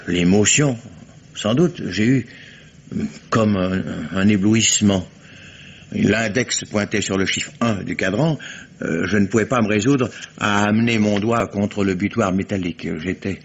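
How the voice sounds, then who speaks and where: An actor playing a proud, grieving lawyer under police questioning, formal and hesitant, in a 1950s radio drama. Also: male voice, lowish voice, breathy edge. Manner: formal, hesitant with many fillers, flares into indignation. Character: proud grieving male lawyer, indignant suspect. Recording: muffled old radio broadcast.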